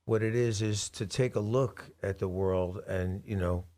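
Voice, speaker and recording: A male composer is being interviewed in a radio studio. His voice sits somewhat low, and he explains things in a reflective, conversational manner. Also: steady tone, measured pace, mellow. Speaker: guest composer and songwriter.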